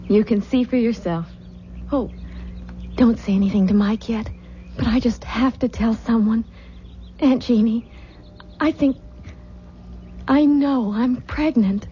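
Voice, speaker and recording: A young wife acted by a woman, tender but weary and guarded. She speaks slowly in a soft, mellow tone, with hesitations. The sound is a muffled old radio drama recording. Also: a little high, natural voice. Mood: confiding.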